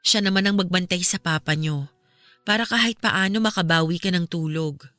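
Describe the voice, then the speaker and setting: A woman's voice: fairly low pitch, normal speed, bright, forceful tone, dry recording, soft background music. An actress playing a caring, steadfast young Filipino woman who consoles a friend in a radio drama, earnest and reassuring. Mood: gentle consoling.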